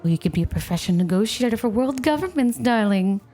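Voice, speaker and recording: A woman's voice: fairly high pitch, lively intonation, quick pace, dark tone, faint music behind, natural voice. A woman playing a playful, possessive snow spirit in an ASMR roleplay, coaxing and teasing the listener with coy, intimate talk. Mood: playfully impressed. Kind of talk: ASMR roleplay, scripted, intimate one-sided dialogue.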